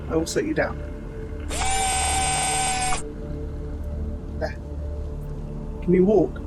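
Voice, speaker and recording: A man playing a gentle, protective robot soldier in an audio roleplay, speaking to the listener in formal, precise, measured wording. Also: on the high side, natural voice, poor audio. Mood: attentive, formal. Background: music.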